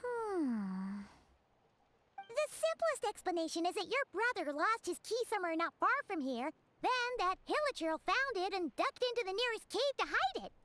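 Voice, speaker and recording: A tiny floating game sprite with a very high voice. Her chatty, curious lines bounce up and down constantly, and she refers to herself by name. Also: big pitch swings, normal speed, fairly bright tone, heavily acted. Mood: thoughtful. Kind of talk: game voice acting.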